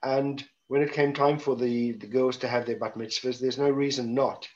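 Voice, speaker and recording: A man's voice: mid-range voice, fairly even intonation, normal speed, a little mellow. South African man on a dull video call. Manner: wry, conversational, frequent restarts. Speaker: panel guest. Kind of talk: reflective panel talk.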